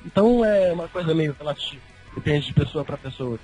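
A man's voice: on the high side, animated, a little fast, darkish tone, soft background music, low-quality recording. A man co-hosting a casual podcast, arguing his opinions. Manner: argumentative, opinionated. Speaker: podcast co-host.

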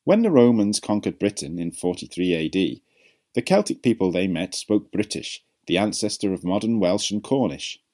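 A man narrates an educational video in a low voice. His intonation moves up and down in a lively way as he reads a prepared script, and the tone is on the mellow side. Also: moderate pace.

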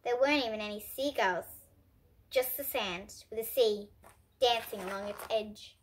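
A child reading a storybook aloud in an expressive, careful way, occasionally stumbling over words. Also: mid-range voice, moderate pace. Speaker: host of a children's book reading series.

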